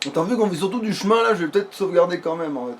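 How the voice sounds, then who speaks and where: Male let's player, voice a touch high. Loose, sweary thinking aloud over light ambient noise. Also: rapid-fire. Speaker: video game let's player at the controls. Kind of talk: casual gaming chat, commentary while playing. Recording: steady background noise, amateur gameplay recording.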